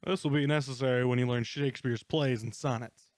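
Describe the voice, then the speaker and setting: A man's voice: medium pitch, steady tone, a little fast, dry recording, clean studio sound, close to an everyday voice. A man voicing a courteous, reassuring male substitute teacher in a story reading.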